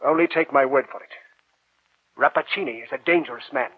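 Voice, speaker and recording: An actor playing a learned, avuncular older professor with an ominous, cautioning streak, in the theatrical style of 1940s radio drama. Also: male voice, medium pitch, brisk, breathy edge, natural voice. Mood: grave warning. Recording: tinny, muffled 1940s radio recording.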